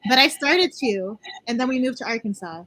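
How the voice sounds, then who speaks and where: A woman's voice: a little high, animated, normal speed, noisy background. A young woman co-hosting a casual chat, talking enthusiastically with lots of filler words. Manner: chatty, enthusiastic, many "like"s. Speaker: co-host.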